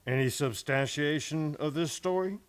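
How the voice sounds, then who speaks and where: A woman playing a measured, fair frontier judge in an old-time radio western, questioning formally and deliberately. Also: low-pitched, natural voice. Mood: probing, measured.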